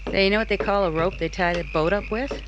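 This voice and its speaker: A woman's voice: medium pitch, quick pace, muffled recording, background noise, lightly acted. An actress playing a playful, free-spirited woman in a 1950s-style radio drama, teasing and coaxing as she talks.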